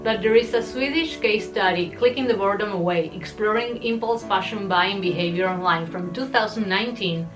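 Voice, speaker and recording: A woman's voice: medium pitch, fairly quick, few pauses, over music, rough recording. Candid woman, not a native English speaker. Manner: candid, reflective, self-deprecating. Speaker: vlogger. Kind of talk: personal confessional vlog narration.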